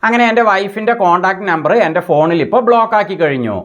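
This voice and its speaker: A man's voice: sits high, expressive, quick pace, a bit bright, highs cut off. A man presenting a tech tutorial, explaining each step in a methodical, instructional way.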